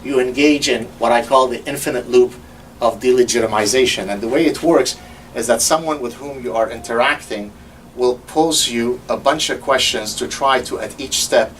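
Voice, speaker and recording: A man's voice: mid-range voice, moderate pace, distant mic, slight background noise, rough recording. Man lecturing with sarcasm. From Lebanon. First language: Arabic. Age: 50s. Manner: animated, sarcastic, mocks opponents in quoted voices. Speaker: host. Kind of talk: lecture-style commentary.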